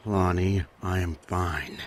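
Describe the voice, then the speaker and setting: A man's voice: deep voice, fairly even intonation, slow pace. A man playing a weary, injured, stoic survivor in an audio drama. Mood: weary, calming.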